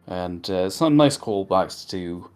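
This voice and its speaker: A man's voice: low voice, animated, slow pace, darkish tone, clean tone, soft background music. A man giving his opinions in a casual, rambling conversational review as a co-host. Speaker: co-host of a cartoon review show.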